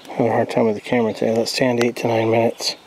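A man's voice: mid-range voice, monotone, very fast, darkish tone, slight background noise, low-quality recording. A man talking casually to himself while cooking a meal on a camp stove outdoors, unsure and easygoing. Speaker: outdoor vlogger. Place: outdoor campsite by a lake.

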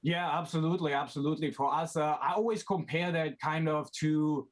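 A man in his thirties from Germany with a German accent, an energetic company founder talking in a remote TV interview from a small room. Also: on the high side, fairly quick. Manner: energetic, gestures a lot, frequent "you know". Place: small indoor room at home. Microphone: video call.

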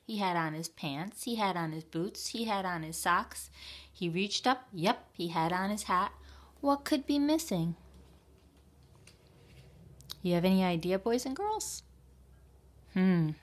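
Female storytime reader: children's picture book, unhurried, very lively intonation, expressive. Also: lowish voice. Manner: deliberate, expressive, with playful sound words.

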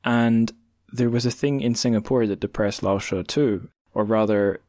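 Low-pitched man hosting a podcast. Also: fairly even intonation, moderate pace, mellow, no echo, studio quality. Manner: informal, digressive, self-deprecating. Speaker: podcast host interviewing a guest. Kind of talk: casual, rambling podcast talk.

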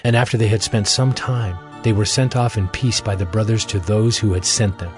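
A man narrating scripture as a scripted audio drama, in a measured, formal manner. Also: on the low side, fairly even intonation. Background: music.

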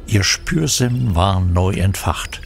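A man's voice: deep voice, lively intonation, slow pace, creaky, slight background noise, exaggerated voice. A man playing the storytelling narrator of a historical crime radio play, reading scripted narration. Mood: measured narration.

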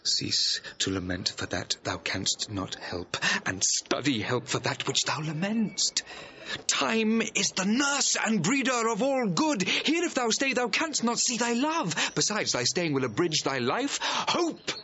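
High, bright-voiced earnest gentleman. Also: sing-song, dull audio, a little hiss or hum, exaggerated voice. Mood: persuasive. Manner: declamatory, measured verse speaking. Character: earnest, persuasive young gentleman, smooth-tongued friend. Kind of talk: classical Shakespearean audio drama acting.

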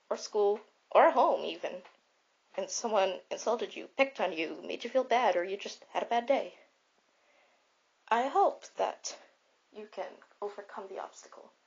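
In a dull lo-fi recording, a woman plays a gentle robot mentor giving comfort in an online roleplay. The voice is husky and mid-pitched. It moves in halting, repeated phrases, earnest and encouraging.

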